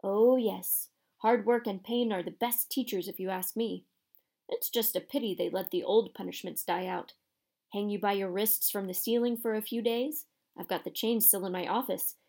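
A woman's voice: mid-range voice, steady tone, moderate pace, creaky, warm tone. Woman as a spiteful, leering male caretaker. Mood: gloating. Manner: leering, sneering, nasty. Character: spiteful, gleeful male caretaker who relishes punishment. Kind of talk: audiobook character voice.

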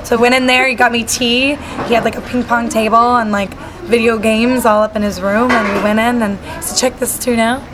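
A woman's voice: on the high side, fairly even intonation, fairly quick, noisy background. A young woman singer-songwriter from Salt Lake City chatting casually in an interview, bubbly and full of fillers. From Salt Lake City, Utah.

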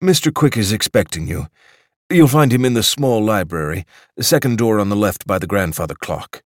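A man's voice: fairly low pitch, expressive, moderate pace, no echo, studio quality, voice pushed up, lightly acted. A man voicing a stiff, formal male club desk attendant, prim and proper.

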